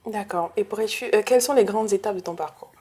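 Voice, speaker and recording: A woman's voice: medium pitch, quick pace, dark tone, breathy edge. Chatty woman hosting a studio interview. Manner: playful, chatty, teasing intro. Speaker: interview host. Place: studio set.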